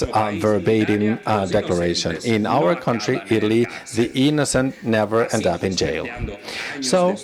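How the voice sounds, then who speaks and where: Low-voiced man interpreting, voices murmuring behind. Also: creaky. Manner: halting, phrase-by-phrase delivery with rephrasing. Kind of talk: live interpreted academic conference talk.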